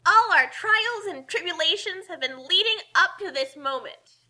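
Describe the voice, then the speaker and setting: A woman's voice: very high voice, expressive, normal speed, bright, forceful tone, pitched above the performer's own voice, exaggerated voice. A woman voicing a brash, theatrical girl hero who boasts dramatically in an adventure story, with music behind her. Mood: dramatic.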